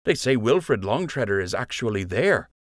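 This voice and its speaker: A man's voice: medium pitch, big pitch swings, normal speed, no room sound, studio quality, pitched above the performer's own voice, natural voice. A man voicing a grave, worried male rabbit who passes on news urgently in an audiobook. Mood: concerned. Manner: urgent, concerned.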